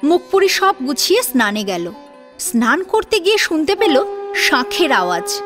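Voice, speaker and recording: High-pitched expressive woman storyteller. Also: moderate pace. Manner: expressive storytelling with rhetorical questions. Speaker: narrator of an audio story serial. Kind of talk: dramatic scripted narration. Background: soft sitar and plucked string music.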